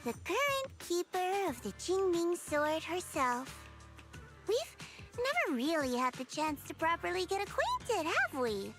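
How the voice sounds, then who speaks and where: A woman's voice: very high-pitched, wildly swooping pitch, unhurried, over music, exaggerated voice. A woman voicing a teasing, self-assured young female agent in a video game, with a playful, smug, coaxing delivery. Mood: breezy, playful.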